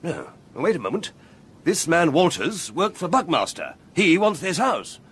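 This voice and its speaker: An actor playing a gruff, exasperated English aristocrat in a period radio drama, with an upper-class English accent and an imperious, blustering manner. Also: male voice, a little high, big pitch swings, measured pace, heavily acted. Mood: brooding.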